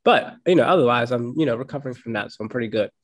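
A cheerful man hosts a casual podcast conversation, chatty and quick to laugh. He is getting over a cold, which leaves his voice a little deeper than usual. His pitch sits in an ordinary middle range for a man. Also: expressive. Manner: upbeat, chatty, laughs easily. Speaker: podcast host.

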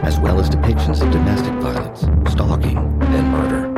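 A man's voice: very deep voice, moderate pace. A man reading a scripted, dramatic announcement for a horror audio drama. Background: loud dramatic music with timpani.

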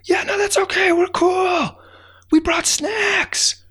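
A man doing squeaky little shouting ants. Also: fairly even intonation, slow pace, full character voice. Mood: chirpy, carefree. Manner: shouting from afar, chirpy. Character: tiny cheerful ants, squeaky and carefree.

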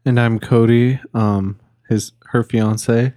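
A man's voice: mid-range voice, steady tone, slow pace, dark tone, dry recording, studio quality. A young man in his early twenties co-hosting a casual podcast, a little drunk, rambling with fillers and restarts. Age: young adult. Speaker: podcast co-host.

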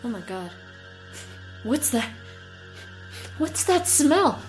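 Anxious, high-voiced, expressive mother. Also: drawn-out words, faint music behind, exaggerated voice. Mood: puzzled, uneasy. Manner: expressive, sighing, exclamatory. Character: exasperated, anxious young boy's mother. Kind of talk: horror audio drama acting.

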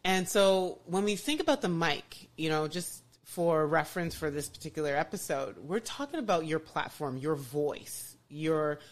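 Enthusiastic lower-voiced woman podcast host. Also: lively intonation, normal speed, few pauses. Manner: enthusiastic, many restarts and "you know". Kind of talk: casual podcast chat.

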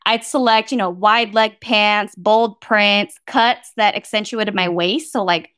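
A woman's voice: medium pitch, fairly even intonation, measured pace, fairly bright tone, smooth, dry recording, studio quality. An American woman from the Bay Area, speaking frankly and matter-of-factly as a guest academic in a conversational interview. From San Francisco Bay Area, United States. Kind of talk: candid conversational interview answer.

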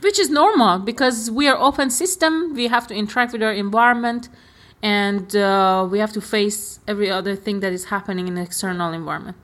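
A woman's voice: mid-range voice, fairly quick, fairly bright tone. A woman co-hosting a casual podcast, a non-native English speaker whose phrasing drops small words. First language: not English. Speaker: podcast co-host. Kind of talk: casual conversational talk.

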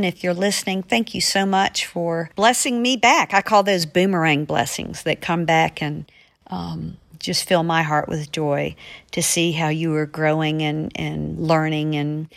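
A woman's voice: lowish voice, creaky, a bit bright. A woman from the United States, an author reading and answering listener mail on a podcast in an earnest, effusive way. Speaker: author with a regular podcast segment.

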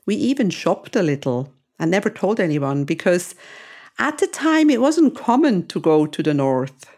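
Woman in her sixties, lowish voice. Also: sing-song, normal speed, darkish tone. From Switzerland. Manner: reflective, nostalgic storytelling. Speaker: podcast host. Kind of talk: memoir storytelling narration.

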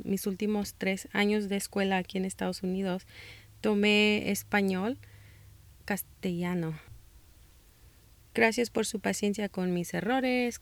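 A Mexican-American woman from Texas hosts a faith-based parenting podcast. She reads from a script in a calm, measured voice and slows down for scripture. Her voice sits in a mid range, recorded close and dry with no room sound. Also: mid-range voice, vocal fry, dry recording. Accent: Mexican-American Spanish, northern border and South Texas. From United States (Texas). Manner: calm, measured reading with slow scripture passages. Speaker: podcast host.

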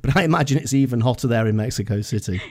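A man's voice: mid-range voice, very fast, a little mellow. A man from the UK hosting a podcast interview over a video call, friendly and promotional, asking open questions. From United Kingdom. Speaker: podcast host.

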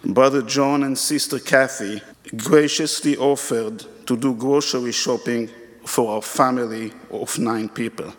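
A man's voice: mid-range voice, fairly even intonation. A man delivering a prepared, earnest memorial tribute at a church service. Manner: slow, earnest and measured. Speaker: church member giving a eulogy. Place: church sanctuary. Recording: reverberant hall.

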